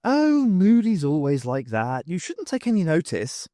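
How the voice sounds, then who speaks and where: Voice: mid-range voice, wildly swooping pitch, measured pace, mellow, no echo, studio quality, voice pushed up. An audiobook narrator voicing a sweet, shy small female dwarf with a gushing, bashful manner.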